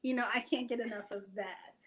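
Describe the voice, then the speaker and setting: Female, high-pitched voice. Writer as interview guest, casual and animated, thinking aloud. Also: a little fast, low-quality recording.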